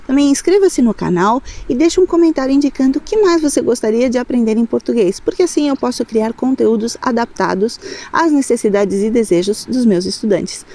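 A woman in her forties giving a beginner language lesson outdoors, speaking clearly and carefully into a phone held at arm's length. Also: a little high, warm tone. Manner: clear, slow, careful teaching delivery with repeated example phrases. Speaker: language teacher. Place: outdoors on a seaside cliff. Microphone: phone camera at arm's length.

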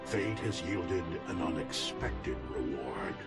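A heroic robot leader in a game cinematic. The voice is very low, stately and solemn, speaking in measured phrases over prominent music. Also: male voice, very animated, vocal fry, exaggerated voice. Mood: solemn, hopeful. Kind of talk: video game cinematic narration.

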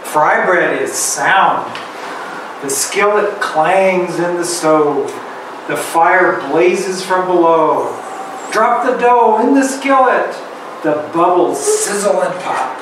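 A middle-aged man reading a picture book aloud to a class of children and asking them questions, in a slow, expressive storybook manner. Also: high-pitched, background noise. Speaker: guest reader. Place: elementary school classroom. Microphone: far camera. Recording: echoey classroom from far off.

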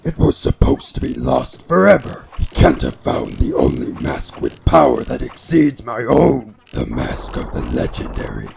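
Gravelly, pompous evil mask villain. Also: male voice, mid-range voice, huge pitch swings, normal speed, hardly any pauses, background noise, heavily acted. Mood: alarmed. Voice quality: gravelly, raspy. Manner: grandiose, gloating, theatrical. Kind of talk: parody dub villain acting.

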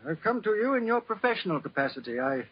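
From a dull-sounding 1940s radio play comes a genteel British lord. His voice is a little high and husky, with an earnest, anxious manner that suits a well-off gentleman seeking help. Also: big pitch swings, fast talker, full character voice. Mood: hesitant, earnest. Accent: British English. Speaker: actor playing a client. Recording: muffled, band-limited 1940s radio recording.

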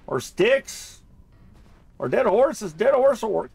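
A man's voice: high-pitched, sing-song, takes it slowly, vocal fry, darkish tone, breathy edge, no room sound. A man giving casual, rambling and jokey commentary as he plays a video game, often repeating himself. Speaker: gamer narrating a playthrough.

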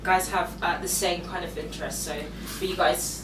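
A woman's voice: on the low side, steady tone, moderate pace. A woman host asking questions in a chatty manner, with frequent "okay"s and repeated starts. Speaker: interviewer, host. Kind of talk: casual interview. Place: indoor wood-panelled lounge, seated on a sofa. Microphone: lapel mic. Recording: roomy, distant mic, noisy.

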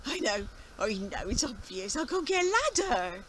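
A woman's voice: high-pitched, sing-song, moderate pace, crowd noise. A woman voicing a kindly, flustered elderly male farmer who stammers and repeats himself in a spoken storytelling performance.

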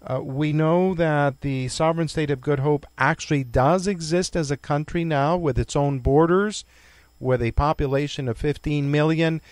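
A man hosting a radio interview who asks his questions in a formal, courteous and deliberate way. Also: on the high side, a little mellow, dry recording. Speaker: radio show host.

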